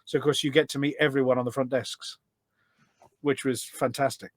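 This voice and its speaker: A middle-aged man chatting casually as a podcast guest, telling stories in an animated way. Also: medium pitch, brisk. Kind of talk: casual anecdotal chat.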